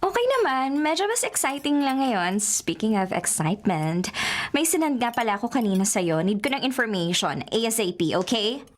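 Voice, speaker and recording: A woman's voice: fairly high pitch, big pitch swings, normal speed. A woman playing a giddy, lovestruck college student in a Filipino radio romantic comedy, gushing in a mix of Tagalog and English. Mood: breezy, bossy. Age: young adult. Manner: gushing, Taglish code-switching.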